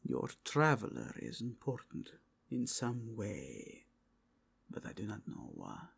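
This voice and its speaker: A slow, husky inquisitor played by a man for a fantasy ASMR roleplay. He is a suave churchman, formal and insinuating, with whispery breath and pitch that swoops widely as he probes. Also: wildly swooping pitch, heavily acted. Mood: persuasive, hushed. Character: suave, probing male cardinal of a fantasy Inquisition.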